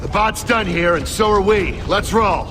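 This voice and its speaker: An actor playing a determined, terse young lieutenant in a military video game. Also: male voice, high-pitched, unhurried, heavy background noise. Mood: urgent, commanding. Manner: commanding, terse. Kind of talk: video game drama acting. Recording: loud music behind the voice.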